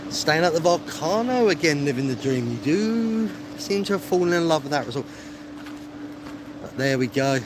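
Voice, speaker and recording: A man's voice: on the high side, lively intonation, normal speed. Chatty man, noisy street. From England. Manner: chatty, rambling, matey, self-deprecating. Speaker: livestreaming travel vlogger. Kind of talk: casual live-stream chat. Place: outdoors on streets, walking. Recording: clear outdoor street noise, traffic.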